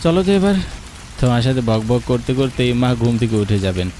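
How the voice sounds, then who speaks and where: A man's voice: medium pitch, big pitch swings, fast talker, warm tone, slight background noise, natural voice. A man voicing a playful, coaxing young husband in a cartoon, in a teasing, cajoling manner. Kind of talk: cartoon voice acting. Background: music and rain.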